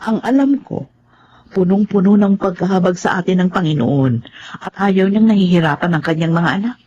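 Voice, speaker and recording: An older woman acting in a Filipino drama as a caring, devout grandmother who gently scolds her grown son. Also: fairly low pitch, moderate pace, dull audio, slight background noise, close to an everyday voice. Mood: earnest, consoling.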